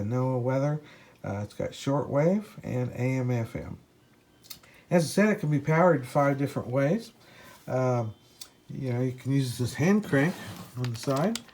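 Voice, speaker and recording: A man with a mid-pitched voice talks through a gadget review in a casual, unscripted way. His delivery is hesitant and halting, like a hobbyist explaining gear to viewers. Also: a little mellow. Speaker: product reviewer and ham radio hobbyist. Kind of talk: casual product review to camera.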